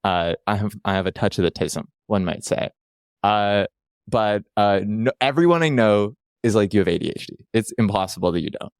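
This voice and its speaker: A man from Canada with a low voice, talking loosely on a casual podcast. He rambles and jokes at his own expense. The sound is close and dry, with no room at all. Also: moderate pace, clear, resonant tone, no room sound. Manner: rambling, self-deprecating jokes. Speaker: podcast guest.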